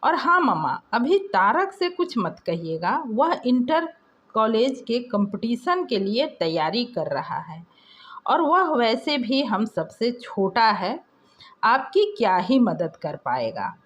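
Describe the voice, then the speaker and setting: A woman voicing an ambitious, self-centred young son in an audio story, smoothly reassuring and making excuses. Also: on the high side, big pitch swings, takes it slowly. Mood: evasive, assured.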